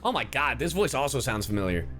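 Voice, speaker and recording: Animated, bright-voiced man streaming. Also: mid-range voice, fast. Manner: swears freely, exclamatory banter. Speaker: streamer and voice actor. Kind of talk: live game reaction commentary. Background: game music.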